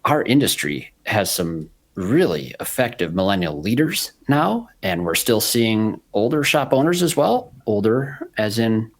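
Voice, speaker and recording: A man's voice: fairly low pitch, sing-song, measured pace, hardly any pauses. A man in his forties hosting a podcast, chatting casually and laughing often. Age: 40s. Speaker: podcast host. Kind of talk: casual panel chat and read ads.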